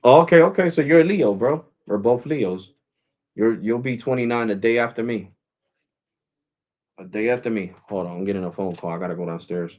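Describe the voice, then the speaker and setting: A man's voice: lowish voice, fairly quick, muffled recording. A man in his forties hosting a live stream, chatting casually and rambling, saying "bro" and "guys" often. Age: 40s. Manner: switches to Spanish with family.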